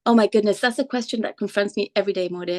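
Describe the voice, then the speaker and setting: A woman's voice: mid-range voice, very fast. A woman from Tanzania, a guest on a podcast, speaking thoughtfully and easily. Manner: thoughtful, relaxed, with fillers. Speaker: guest, entertainment lawyer. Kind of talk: conversational interview.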